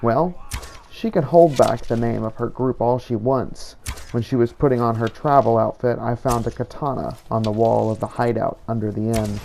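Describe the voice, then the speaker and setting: A man narrating his roleplay game playthrough like a journal, measured and reflective. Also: medium pitch, vocal fry, dark tone, slight background noise.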